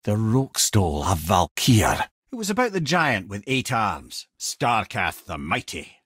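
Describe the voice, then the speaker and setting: A clever old Scottish talking-head advisor from a video game. He is an eloquent, wry storyteller with a husky grain and very lively pitch. The sound is close and dry, with no room to it. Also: a little slow, no room sound, heavily acted. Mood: grave storytelling. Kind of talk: video game character voice lines.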